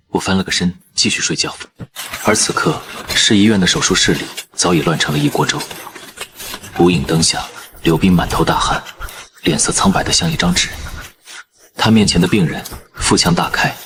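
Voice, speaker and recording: Deep low guy narrating a story calm and steady, light hiss behind. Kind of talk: first-person story narration.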